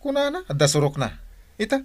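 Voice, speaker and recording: Excitable Filipino farmer. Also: male voice, sits high, fast. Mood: indignant. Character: village farmer neighbour, excitable, indignant. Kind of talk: Filipino comedy radio drama acting.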